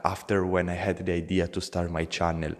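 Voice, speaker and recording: A man's voice: low-pitched, little pitch movement, fairly quick, big-room sound. A young man with an Italian accent, talking earnestly to camera as a motivational channel host, in simple non-native phrasing.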